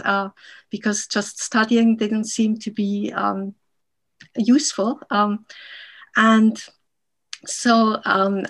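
Swiss-born woman guest on a video call, calm, lots of ums. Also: medium pitch. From Switzerland. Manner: measured, frequent ums. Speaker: guest, piano teacher. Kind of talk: casual interview over video call.

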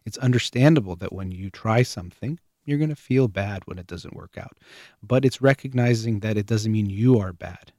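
A man hosting his own show, calmly explaining parenting ideas through everyday analogies. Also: on the low side, normal speed, no room sound, clean studio sound. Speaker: show host. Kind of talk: parenting advice monologue.

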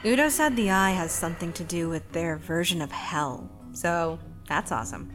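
A woman's voice: fairly low pitch, moderate pace, fairly bright tone, faint music behind, lightly acted. A woman playing a sarcastic, reckless young assistant to a scientist in a comedic audio drama. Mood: dry, confident. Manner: sarcastic, quippy.

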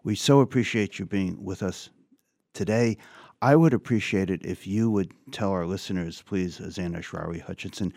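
A man's voice: sits low, normal speed, vocal fry, darkish tone, dry recording. A man hosting a radio talk show, asking earnest, emphatic questions into a studio microphone. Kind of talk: radio interview.

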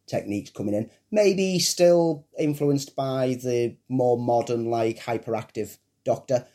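A man's voice: mid-range voice, a little slow, mellow. A man co-hosting a film podcast, talking as an enthusiastic fan. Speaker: podcast co-host. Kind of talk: casual chat.

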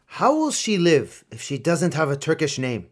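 A man acting in a radio play as a stern but protective older colonel and uncle figure, grave and deliberate. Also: fairly high pitch, big pitch swings. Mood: insistent, pained.

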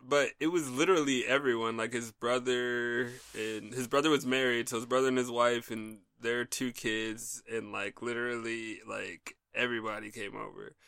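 Chatty male interviewer, gravelly and bright, loose filler-heavy storytelling. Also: mid-range voice, steady tone, normal speed, hardly any pauses, no echo. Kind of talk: casual chat.

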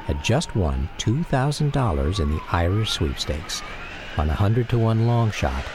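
An American man narrating a scripted radio memoir in a measured, reflective manner. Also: on the low side, big pitch swings, noisy background.

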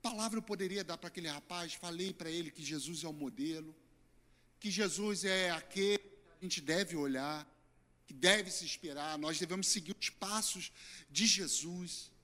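Hoarse Brazilian pastor in reverberant hall. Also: male voice, fairly high pitch, normal speed. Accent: Brazilian Portuguese. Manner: earnest, emphatic preaching with sudden loud and soft swings. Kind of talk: sermon.

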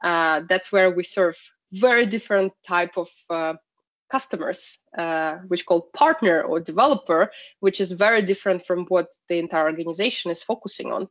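A woman from Russia, a podcast guest introducing her product work in casual chat with frequent fillers. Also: fairly low pitch. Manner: speaks with frequent fillers, slightly non-native grammar. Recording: dull lo-fi call audio.

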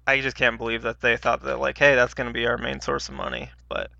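A man's voice: medium pitch, level tone, fast, creaky, sharp tone, dry recording, highs cut off. A man co-hosting a podcast in casual, informal chat with slangy wording. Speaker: podcast co-host.

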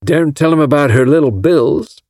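A man voicing a folksy, lovestruck rich gentleman from Alabama with a drawling Southern American accent, in an audiobook. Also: on the high side, a little fast, no echo, studio quality, voice pushed up. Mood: confiding.

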